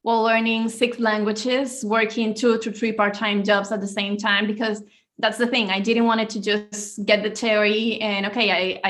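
A woman's voice: mid-range voice, a bit bright. A woman from Venezuela, answering interview questions as a guest author in an earnest, upbeat way, often with a short laugh.

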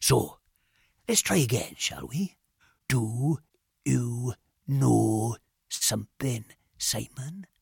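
Casting: male reader as taunting British hard lad, husky, menacing, drops into whispers, storybook villain. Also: medium pitch, animated, measured pace, dry recording, studio quality, heavily acted. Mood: quietly bullying. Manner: sinister, teasing, lingering, often dropping to a whisper.

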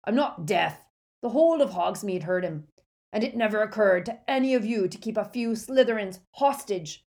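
A woman voicing a gruff, blunt older male barkeeper who scolds. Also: mid-range voice, expressive, moderate pace, a little mellow, pitched above the performer's own voice, natural voice. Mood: gruff, scolding.

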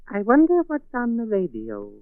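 An actor playing an unctuous, doting, cold-blooded husband with courtly, formal speech in 1940s radio acting style. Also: male voice, very high voice, wildly swooping pitch, normal speed, clear, resonant tone, no room sound, full character voice. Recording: muffled vintage 1940s radio recording.

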